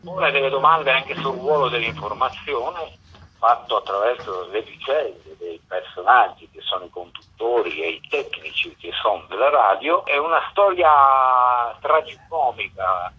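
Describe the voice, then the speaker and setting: A man's voice: mid-range voice, expressive, measured pace, breathy edge. A man, a theatre director interviewed over the telephone and explaining his own work. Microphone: phone call. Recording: dull telephone line.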